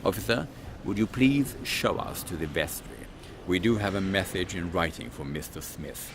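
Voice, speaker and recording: A man playing a pompous British army captain in a comic Victorian audio drama, gentlemanly and blustering. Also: sits low, lively intonation, moderate pace, noisy background. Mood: formal, courteous.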